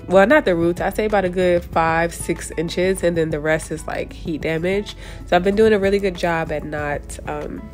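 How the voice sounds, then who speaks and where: A young woman with a somewhat low voice that sounds stuffed up and congested. She talks through a hair tutorial in a loose, chatty way while she works, stopping often to correct herself. Music plays softly in the background. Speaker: hair vlogger.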